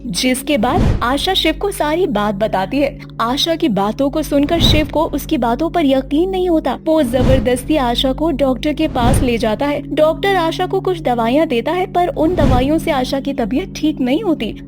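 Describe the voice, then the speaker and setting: Female storyteller, high voice, scripted dramatic narration with soft music underneath. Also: expressive, normal speed, hardly any pauses.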